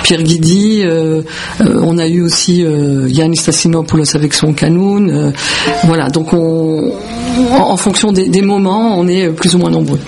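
A woman from Belgium with a creaky voice, answering as a musician in a radio interview in halting, repetitive phrases. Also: low-pitched, noisy background. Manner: hesitant, halting phrasing with repeated words. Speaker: musician, founding member of a band.

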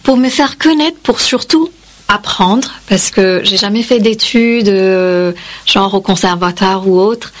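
A woman's voice: medium pitch, slow pace. A woman from India raised in Yemen, a native Kannada speaker, answering interview questions as a singer, reflective and hesitant with fillers. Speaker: singer, guest.

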